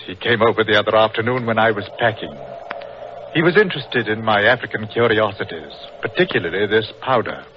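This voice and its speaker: A man's voice: fairly low pitch, expressive, a little fast, close to an everyday voice. A man playing a proud, grief-stricken explorer doctor, grave and impassioned, in 1940s radio drama style. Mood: grave confession. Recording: muffled, noisy old-time radio recording.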